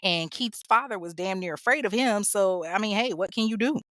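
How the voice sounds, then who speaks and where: A woman's voice: mid-range voice, brisk, fairly bright tone, no room sound. A woman hosting a true crime show, telling the story in a casual, blunt way with swearing and laughing asides. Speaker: true crime podcast host.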